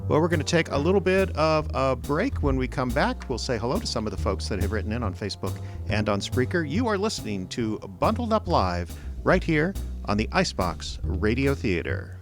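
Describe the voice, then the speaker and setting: A man works as a radio announcer and host, reading scripted copy at a middle pitch. His intonation is animated, and faint music plays along with him. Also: brisk.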